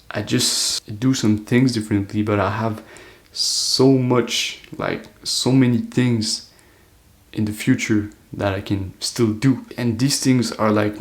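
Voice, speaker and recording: Flat, low-voiced eighteen-year-old man. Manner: earnest, loose talk full of "like" fillers. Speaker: self-improvement vlogger. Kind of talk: casual reflective monologue to camera.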